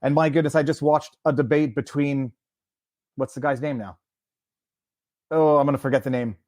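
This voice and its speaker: A man from Quebec hosts a livestream interview, talking in a chatty, rambling way and stacking up questions. His voice sits fairly high for a man. The sound is dry and close, with no room in it. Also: fairly even intonation, moderate pace, darkish tone, dry recording. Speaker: host.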